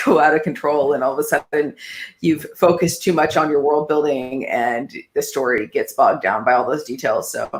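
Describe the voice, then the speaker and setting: A woman author hosting an interview, talking in a relaxed, friendly way and laughing easily. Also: very deep voice, moderate pace. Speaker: author host. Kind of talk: casual interview chat. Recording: echoey room.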